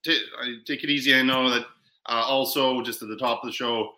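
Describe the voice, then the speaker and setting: A man hosting a casual podcast chat about film awards, talking in halting bursts full of restarts and repeated words. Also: medium pitch, fairly even intonation, sharp tone, echoey room. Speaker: podcast host.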